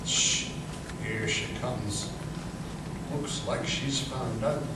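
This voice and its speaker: A man playing a scheming, falsely reassuring army sergeant in an old-time radio drama, speaking deliberately. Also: medium pitch, fairly even intonation. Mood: slyly reassuring. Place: live stage performance in a museum. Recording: very echoey hall, distant mic, noisy.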